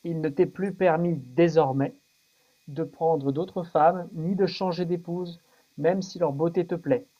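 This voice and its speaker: A man's voice: a little high, steady tone, measured pace, highs cut off. A man in a live debate, reading a passage aloud steadily and plainly. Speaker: debate participant reading a verse.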